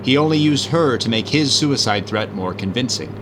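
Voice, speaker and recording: Man acting a measured, confident gentleman detective. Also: mid-range voice, lively intonation, noisy background, natural voice. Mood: assured, explanatory. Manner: measured, assured, explanatory. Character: calm, confident gentleman amateur detective explaining. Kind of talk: 1950s radio drama acting.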